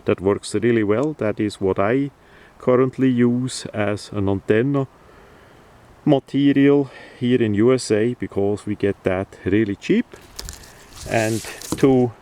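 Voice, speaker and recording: A man presenting an informal, unscripted how-to demonstration in a halting, hesitant way. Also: lowish voice, dark tone. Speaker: video presenter, radio hobbyist.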